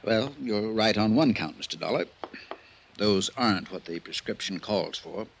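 An actor playing a harried, middle-aged village doctor, a remorseful drinker, husky and halting in an old time radio drama. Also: male voice, lowish voice, a little hiss or hum. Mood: guarded. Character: harried, worn-out village doctor under sixty, remorseful drunk.

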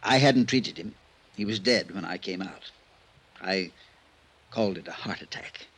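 An actor playing a harried, middle-aged village doctor, a remorseful drinker, husky and halting in an old time radio drama. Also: male voice, low-pitched, a bit bright, slight background noise. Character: harried, worn-out village doctor under sixty, remorseful drunk.

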